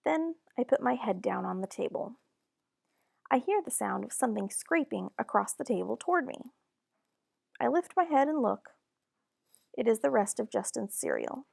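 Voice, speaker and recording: A woman reading a children's picture book aloud in a deliberate, expressive storytelling manner. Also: a little high, creaky, no room sound, studio quality. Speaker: storybook reader.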